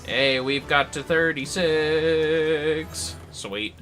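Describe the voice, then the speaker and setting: A man's voice: on the high side, extremely slow, bright, forceful tone, faint music behind. A man chatting casually and a bit ramblingly as he livestreams a game, swearing freely. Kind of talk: casual chat while gaming.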